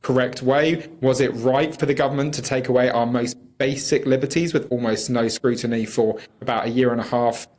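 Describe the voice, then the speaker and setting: British man, political interview guest. Very quick delivery, critical and emphatic, fluent arguer. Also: mid-range voice, steady tone, darkish tone. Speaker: campaigner, studio guest.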